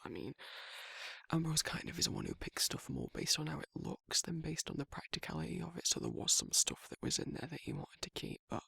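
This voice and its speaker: A man's voice: a little high, fairly bright tone, no echo, studio quality, exaggerated voice. A man playing a protective, awkward werewolf in an intimate ASMR roleplay, speaking breathily and hesitantly, often near a whisper. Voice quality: husky, breathy, gravelly, often dropping to a whisper. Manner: hesitant, rambling, bashful, informal. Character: protective, awkward, soft-hearted male werewolf confiding in a friend.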